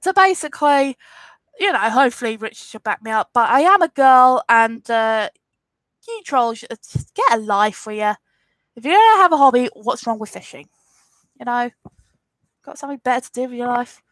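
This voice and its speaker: A woman's voice: fairly high pitch, animated, moderate pace, fairly bright tone, no echo, poor audio. A woman in her twenties with an Essex accent, sniffly from a cold, chatting casually through a live Q&A and answering comments frankly. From England. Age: 20s. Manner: chatty and rambling, frank and defiant with trolls. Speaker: YouTuber hosting a live Q&A. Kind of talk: casual live-stream chat reading comments.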